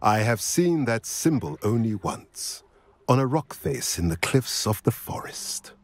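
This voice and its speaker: A man playing a wise, solemn centaur seer in a video game, speaking in formal, measured, archaic phrases with a cryptic, protective air. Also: mid-range voice, very animated.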